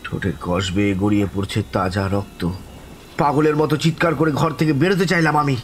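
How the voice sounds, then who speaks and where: A man playing a terrified, distraught survivor who tells his ghost story in a halting, dramatic way. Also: medium pitch, lightly acted. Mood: horrified. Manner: halting, dramatic, repeating words, breaking into desperate cries. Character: terrified, distraught man recounting a haunting he lived through. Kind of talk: dramatized first-person horror narration.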